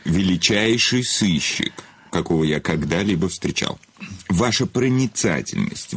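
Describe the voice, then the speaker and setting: An actor playing a famous crime novelist in an audio drama, an urbane, cynical self-confessed murderer who talks grandly, with ironic hesitations. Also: male voice, deep voice, gravelly, breathy edge, exaggerated voice. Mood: mock-solemn confession. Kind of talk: theatrical audio drama dialogue.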